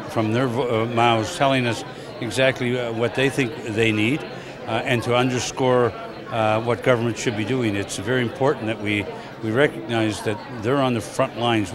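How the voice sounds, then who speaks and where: A man, a parliamentarian, giving earnest interview answers with fillers and restarts. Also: mid-range voice.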